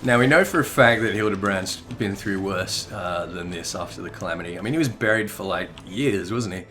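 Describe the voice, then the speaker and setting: A man's voice: low voice, brisk, fairly bright tone. An amused man giving casual, chatty commentary on a video game. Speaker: gameplay commentator and dialogue reader. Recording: music behind the voice.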